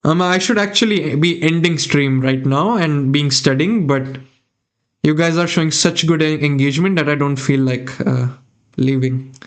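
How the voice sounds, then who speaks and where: A man's voice: fairly high pitch, moderate pace. A young man from India with an Indian accent, thinking aloud as he comments on a chess game and chats with his viewers from a small room. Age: young adult. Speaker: chess streamer.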